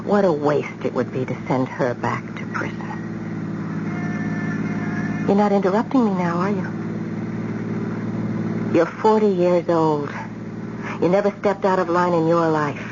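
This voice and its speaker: A woman's voice: fairly low pitch, unhurried, pauses often, natural voice. An actress playing a seductive, calculating woman on the run, coolly persuasive and taunting, in a radio drama. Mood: taunting, confident. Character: seductive, calculating woman, escaped convicted killer. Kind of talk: 1970s radio drama acting. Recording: dull, very noisy old radio recording.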